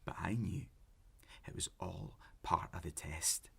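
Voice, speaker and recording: An actor playing an obsessive, pleading, lonely lovesick man in an audio drama, halting and intense as he justifies himself. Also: deep voice, creaky, a bit bright, breathy edge, in a whisper, heavily acted. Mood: obsessive, agitated. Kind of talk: naturalistic audio drama acting.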